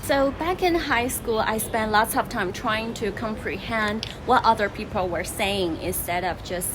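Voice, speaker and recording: A woman's voice: fairly high pitch, unhurried. A young woman from Taiwan whose first language is Chinese, telling her story and giving advice as a channel host in a chatty, teacherly way. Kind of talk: casual vlog storytelling. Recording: noticeable background noise.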